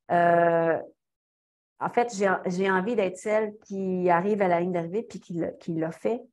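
A young Québécois woman talks casually over a laptop webcam from a small office. Her voice sits a little low, and she speaks in a loose, chatty way, with many fillers and restarts between her thoughts. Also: darkish tone. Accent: Québécois French. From Quebec. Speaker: guest speaker, cyclist. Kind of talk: casual online talk and Q&A. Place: small office in front of a banner. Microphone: laptop webcam video call.

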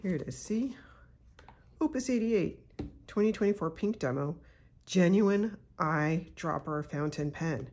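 A woman hosting her own channel, congested from a cold, chatting casually and unhurriedly with soft asides while she unboxes a pen at her desk at home. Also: lowish voice, animated, measured pace. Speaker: YouTube channel host.

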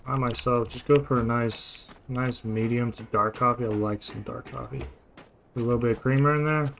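A man's voice: fairly even intonation, moderate pace, creaky, fairly bright tone, a little hiss or hum. Mumbling young man playing a game. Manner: mumbled, low-key musing to himself. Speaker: gaming streamer. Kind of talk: relaxed let's-play commentary.

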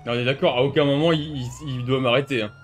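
A man's voice: mid-range voice, fairly even intonation, quick pace, slight background noise. A man giving casual, slangy gameplay commentary in a grumbling way, like a streamer. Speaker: gaming video maker, streamer.

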